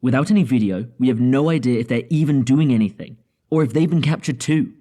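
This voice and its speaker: A man playing a casual, doubtful spaceship crew member in a sci-fi audio drama, with a husky, mellow voice. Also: medium pitch, fast, natural voice.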